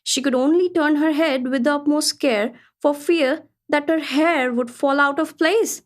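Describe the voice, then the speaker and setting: High-pitched lady traveller telling her tale. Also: measured pace. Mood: critical, surprised. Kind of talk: radio play storytelling, reading a travel account.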